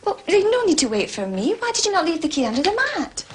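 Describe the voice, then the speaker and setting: An actress playing a chatty, dreamy, romantic young housemaid with a Scottish accent in a period mystery drama. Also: female voice, very high voice, very animated, fast talker. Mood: flustered. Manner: gushing, chatty. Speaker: actor.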